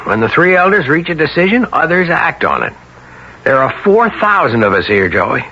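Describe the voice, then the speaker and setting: A man's voice: a little high, very animated, normal speed, pushed and bright, breathy edge, dull audio, heavily acted. An American actor playing an earnest, defensive lawyer loyal to his orderly town in a 1970s radio drama. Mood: exasperated, defensive. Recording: band-limited 1970s radio broadcast.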